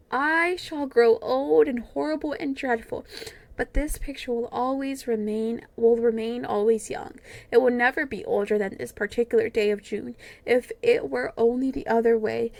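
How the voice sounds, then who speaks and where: A woman's voice: fairly high pitch, creaky. A woman voicing a vain young man who despairs over losing his youth, pleading and crying out. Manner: emotional, pleading, rising to cries.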